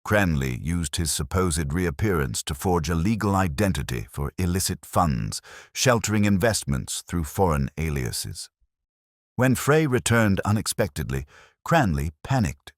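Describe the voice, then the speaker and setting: Very deep male story narrator, dry sound. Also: big pitch swings, a little slow, no room sound. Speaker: audiobook narrator. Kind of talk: scripted mystery story narration.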